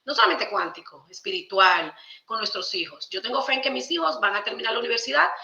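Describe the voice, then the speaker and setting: Bright-voiced Dominican woman in a roomy space. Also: a little high, expressive, quick pace, creaky. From Dominican Republic. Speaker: podcast guest, theologian. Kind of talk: conversational explanation of spiritual ideas. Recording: roomy echo, slightly dull sound.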